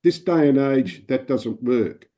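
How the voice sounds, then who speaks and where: A man's voice: medium pitch, expressive, takes it slowly, mellow. An older man asking an earnest question on a video call, his voice echoing back over the line. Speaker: club member asking a question. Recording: dull line with an echo of the call.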